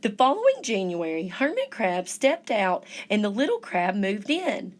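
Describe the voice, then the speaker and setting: Animated woman reading a children's story. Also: mid-range voice. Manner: deliberate, animated storytelling emphasis. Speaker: storyteller reading a picture book aloud. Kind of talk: expressive children's story narration.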